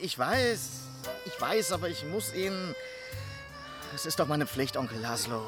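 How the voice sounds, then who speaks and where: A dutiful but timid village policeman in a radio play. He is hesitant and deferential, pleading in a rather high voice, with music clearly behind him. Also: big pitch swings, unhurried. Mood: pleading, flustered. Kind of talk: radio drama acting.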